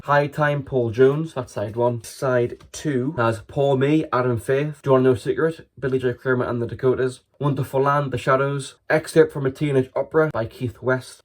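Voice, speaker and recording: A man's voice: mid-range voice, darkish tone. A man with a British accent casually presenting a collection as a video host, in a plain, matter-of-fact way. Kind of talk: casual show-and-tell.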